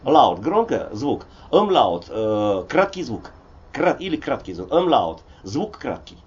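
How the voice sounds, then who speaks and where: A man in his sixties from Russia, a poet talking in a relaxed interview, rambling and digressive with many hesitations. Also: mid-range voice, slow, husky, dull audio. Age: 60s. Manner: digressive, frequent hesitations and "vot" fillers. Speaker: poet and polyglot guest. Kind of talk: conversational interview, discursive storytelling.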